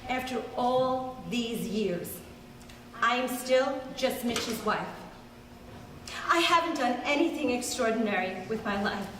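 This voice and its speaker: An actress playing a lonely, wounded middle-aged wife, plaintive and emphatic, in a live stage play. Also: female voice, fairly high pitch, expressive, slow, fairly bright tone. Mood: wistful hurt. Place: stage of a theater, live performance. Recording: distant, echoey hall, faint noise.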